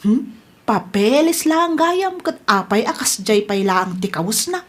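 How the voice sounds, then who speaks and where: A woman's voice: on the high side, big pitch swings, a little slow. A woman playing a nosy, fault-finding younger village gossip in a radio comedy drama, exclamatory and needling, with a Filipino accent. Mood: nosy, teasing.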